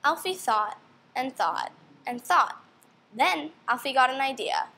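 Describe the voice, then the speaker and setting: A young woman with a high voice reads a children's story aloud. Her intonation swings widely as she tells it and puts questions to young listeners. A faint but steady noise sits behind her. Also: a little slow, gravelly. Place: indoor room.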